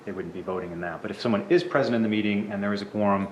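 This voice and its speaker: A man calmly explains council rules in a loose, explanatory manner. His voice is lowish and echoes in the chamber. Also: racing, highs cut off, a little hiss or hum. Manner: explanatory, casual with fillers. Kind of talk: explaining procedure.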